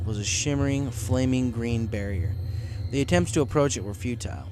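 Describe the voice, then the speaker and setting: A man reading a scripted story aloud as its narrator. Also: mid-range voice. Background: music.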